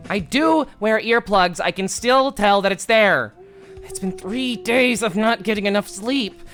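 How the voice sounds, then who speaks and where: A man's voice: high-pitched, measured pace, a bit bright, faint music behind, voice pushed up. A man reading aloud the lines of a sleep-deprived young woman who plans a crime, in a melodramatic, menacing way. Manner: melodramatic, menacing read of game text.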